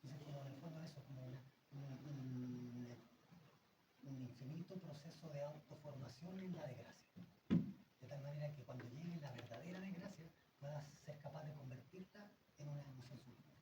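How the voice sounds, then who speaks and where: A man's voice: mid-range voice, normal speed, roomy sound. Male academic, hesitant Q&A, distant noisy room mic. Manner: hesitant, frequent restarts and tag questions. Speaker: lecturer answering questions. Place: seminar room.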